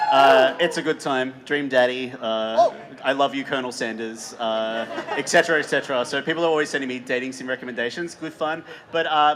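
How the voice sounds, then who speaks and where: A man co-hosting a live convention panel, rambling casually with many pauses. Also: mid-range voice, a bit bright. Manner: rambling, lots of filler "uh"s. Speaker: streamer and panel co-host. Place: convention stage before a crowd.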